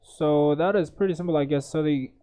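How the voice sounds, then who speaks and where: A man's voice: fairly high pitch, a little fast, warm tone. A young man tutoring, reading an exam passage aloud with many stumbles and self-corrections, then thinking through the answers. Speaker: IELTS tutor.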